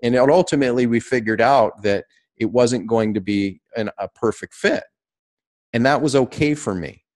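A man's voice: mid-range voice, moderate pace, darkish tone. A man in his late forties speaking as an interview guest, reflecting conversationally on his own experience. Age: late 40s.

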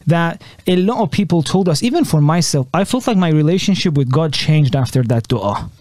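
A man, a religious scholar, talking in an earnest, emphatic conversational way with quick rising exclamations. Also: a little high, animated, a little mellow, dry recording. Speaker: Islamic scholar and guest. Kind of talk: animated conversational talk.

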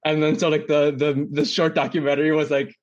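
A man's voice: on the high side, little pitch movement, brisk, lo-fi sound. A young man from Indonesia co-hosting a casual podcast, telling stories in a rambling way with lots of "like" and laughter. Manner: rambling, heavy filler "like", laughs often. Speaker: podcast co-host. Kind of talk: casual chat.

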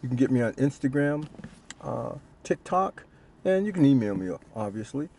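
An older man, a guest storyteller, talking casually in a halting, informal way. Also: medium pitch, sing-song, gravelly, warm tone, slight background noise. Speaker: featured guest storyteller and author. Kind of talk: casual spoken plug.

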